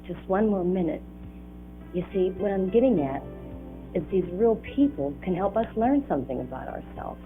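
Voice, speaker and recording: A woman's voice: mid-range voice, animated, moderate pace, close to an everyday voice. A woman playing an earnest youngster who explains things carefully in an educational film drama. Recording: tinny 1980s 16mm film soundtrack, music.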